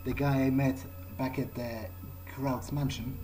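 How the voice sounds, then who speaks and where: A man playing a cocky, sardonic vampire who thinks aloud haltingly in a video game playthrough. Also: medium pitch, little pitch movement, dark tone, close to an everyday voice. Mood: musing. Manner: musing, halting, muttered asides. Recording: clear background noise, game ambience.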